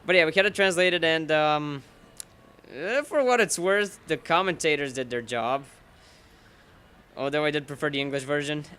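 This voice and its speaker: A young man talks in a bright, pointed tone with a clean, crisp voice, his pitch sitting a little high. He rambles loosely from topic to topic as he plays a game, swearing freely and snapping at the game. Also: normal speed, long pauses. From Bulgaria. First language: Bulgarian. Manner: rambling and profane, curses at the game. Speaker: let's play commentator. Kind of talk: rambling casual commentary while gaming.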